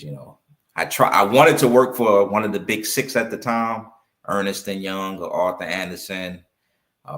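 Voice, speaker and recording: A man's voice: fairly low pitch, a bit bright. A man in his fifties from New York talking conversationally as an interview guest, repeating points for emphasis as he tells his story. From Brooklyn, New York. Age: 50s. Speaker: interview guest, accountant and business owner.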